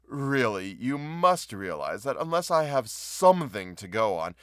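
A man reading a story as a smug, condescending male florist with an affected, unctuous, drawn-out delivery. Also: mid-range voice, very animated, a bit bright, dry recording, studio quality. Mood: offended, curt.

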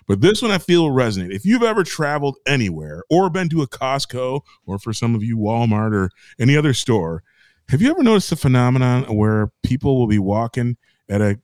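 A man co-hosting a podcast, airing grievances in a profane, exasperated rant that builds into shouting. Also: medium pitch, no echo.

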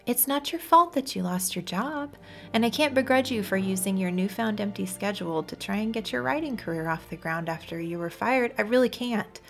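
A woman voicing a guilty, hesitant young woman in a story, halting and pleading as she speaks to her fiancé. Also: medium pitch, vocal fry, soft background music, voice pushed up, lightly acted. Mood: earnest, pleading. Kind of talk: dramatic audiobook character voice.

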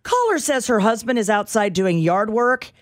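Deadpan woman reading scanner reports. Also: fairly high pitch, moderate pace, no echo. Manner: dry, matter-of-fact dispatcher delivery. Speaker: radio show co-host. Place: radio studio. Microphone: studio microphone.

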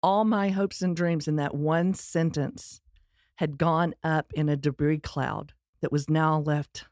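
A woman's voice: deep voice, a little mellow, no room sound, studio quality. Earnest Texan woman telling her story. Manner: candid, earnest, self-deprecating humor. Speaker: speaker sharing her life story. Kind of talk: personal storytelling talk.

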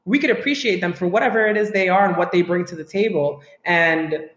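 An animated, outspoken woman talks frankly as a podcast guest. She grew up between Trinidad and Tobago and the US, and her voice rings in a roomy, echoey space. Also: fairly low pitch, a little fast. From Trinidad and Tobago, raised in the US. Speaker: guest, writer. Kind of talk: casual podcast conversation. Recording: roomy echo.

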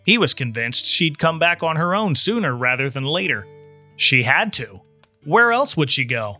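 A man's voice: on the high side, sing-song, moderate pace, pushed and bright, faint music behind. A man, a podcaster, reading in a scripted storytelling style. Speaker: podcaster, guest reader. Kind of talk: podcast promo and audiobook-style narration.

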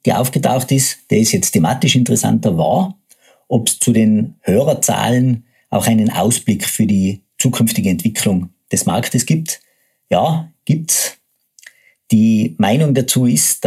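A man's voice: lowish voice, mellow, slightly rough. A man with a Tyrolean German accent hosting a solo podcast, explaining things step by step in an informal way. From Tyrol, Austria. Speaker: podcast host, agency co-founder.

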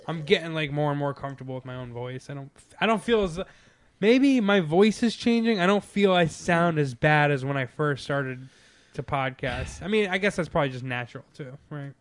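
A man's voice: on the high side, normal speed, clean tone, dry recording. A man co-hosting a podcast, talking in a rambling, reflective way with lots of restarts. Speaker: podcast co-host. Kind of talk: casual podcast chat.

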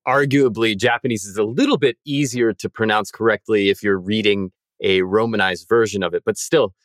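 Low-voiced guy on a podcast, careful and precise, dry close sound. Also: steady tone, brisk, no room sound. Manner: methodical, precise explainer. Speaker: podcast co-host. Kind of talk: explanatory podcast chat.

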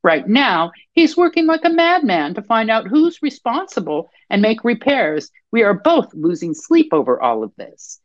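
Brief: female reader doing a guarded wife under questioning, higher pitched, careful. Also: sing-song, moderate pace, hardly any pauses, dry recording, dull audio. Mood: cautious. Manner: cautious, defensive. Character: anxious young wife guarding her husband.

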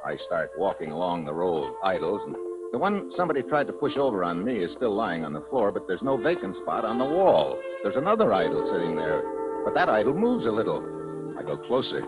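An actor playing a tough, wary American operative with a clipped, sardonic delivery, narrating and acting in a 1950s radio drama. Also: male voice, sits low, sing-song, brisk, over loud music, poor audio. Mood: tense, wry. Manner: hard-boiled, clipped, sardonic. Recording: vintage 1950s radio broadcast.

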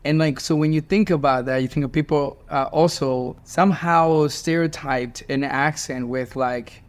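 A man in his forties from Venezuela with a Venezuelan accent and Spanish as his first language, hosting a conversation and telling animated stories with laughs. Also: on the high side, normal speed. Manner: animated storyteller, laughs while talking. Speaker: host. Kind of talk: casual panel conversation.

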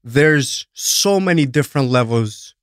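A man's voice: medium pitch, animated, measured pace, clear, resonant tone, no room sound, studio quality. A man who grew up in Greece, answering as an interview guest in an earnest way, often stumbling as he starts sentences. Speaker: guest, talent agency principal. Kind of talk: casual interview talk.

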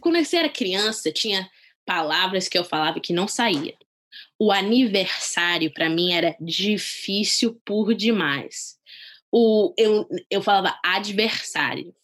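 A young woman tells a personal story in an interview, animated and quick to react. Her voice is husky and mid-pitched. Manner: lively, animated retelling.

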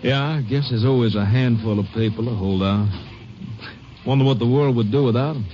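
A talkative small-town newspaper editor, played by a man in an old radio drama, with a mellow, lowish voice and a wry manner. The sound is muffled vintage broadcast audio with music under it. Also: brisk, lightly acted. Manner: chatty and wry. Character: middle-aged male small-town newspaper editor, outspoken. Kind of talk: 1950s radio drama acting.

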